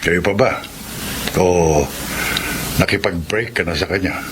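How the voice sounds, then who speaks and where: A man's voice: deep voice, measured pace, background noise. A man playing a probing, reassuring middle-aged father in a radio drama, with a Filipino accent.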